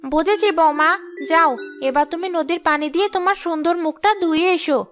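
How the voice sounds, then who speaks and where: A woman playing a weary, motherly older mother-in-law in a village cartoon, gently chiding. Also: very high-pitched, fairly quick, soft background music. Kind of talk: cartoon voice acting.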